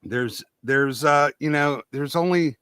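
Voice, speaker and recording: A man with a bright, forward voice chats casually on a panel. He feels his way through each thought haltingly, and the sound is dry and close. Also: fairly high pitch, smooth, dry recording. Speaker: panellist on a pop culture show. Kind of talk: casual chat.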